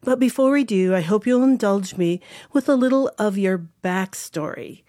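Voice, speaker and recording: A woman's voice: medium pitch, normal speed, mellow, no room sound. Woman host asking friendly questions, dry studio sound. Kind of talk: interview.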